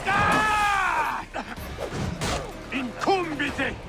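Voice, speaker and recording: An actor playing a fierce, commanding villain in a video game, declaiming imperiously. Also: male voice, very high voice, lively intonation, drawn-out words, loud music behind the voice, heavily acted. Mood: frenzied command. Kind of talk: theatrical video game shouting.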